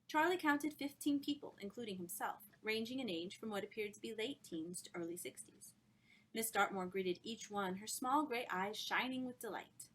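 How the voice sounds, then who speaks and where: A woman author reading her own novel aloud in an expressive storytelling style. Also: medium pitch, normal speed.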